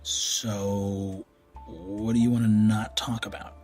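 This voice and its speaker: A man's voice: fairly low pitch, little pitch movement, slow, darkish tone, faint music behind. An actor playing an awkward, eager young student tutor in an audio drama, stammering and joking as he rambles. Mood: curious, earnest. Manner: hesitant, stammering, jokey rambling. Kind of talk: naturalistic audio drama acting.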